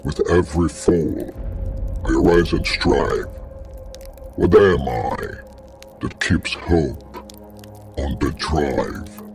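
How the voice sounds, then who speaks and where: A man playing a solemn ancient necromancer gatekeeper in an audio drama, gravelly, speaking in portentous, archaic formal phrases. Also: extremely low pitch, drawn-out words, long pauses, darkish tone, faint music behind, full character voice. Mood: mystical guidance.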